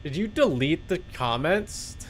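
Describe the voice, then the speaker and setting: Higher-voiced man streaming a game. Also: expressive, a little slow, a little hiss or hum. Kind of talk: casual live gameplay commentary.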